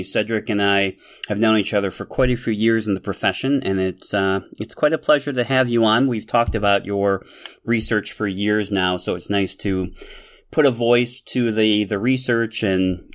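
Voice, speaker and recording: A man's voice: fairly low pitch, fairly bright tone. A man co-hosting a podcast, chatting casually and often repeating words as he talks. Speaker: podcast co-host. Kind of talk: casual chat.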